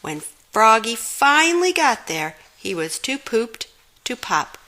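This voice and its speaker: A woman's voice: a little high, sing-song, takes it slowly, pushed and bright. A woman reading a children's storybook aloud expressively, giving dramatic emphasis to the sound words. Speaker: storybook reader.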